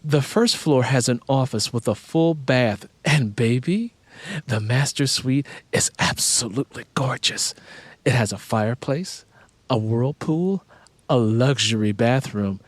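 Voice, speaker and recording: A man's voice: mid-range voice, lively intonation, measured pace, no room sound. A man voicing an enthusiastic wife who coaxes and persuades in audiobook dialogue. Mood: excited, coaxing. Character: adult wife, eager, persuasive, house-proud real estate agent.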